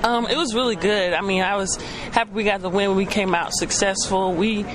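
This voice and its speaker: A young woman from Texas with a Texan accent, a college basketball player answering radio interview questions in a relaxed way, with short replies and frequent hesitant ums. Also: mid-range voice, a little fast, crowd noise, poor audio. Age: young adult.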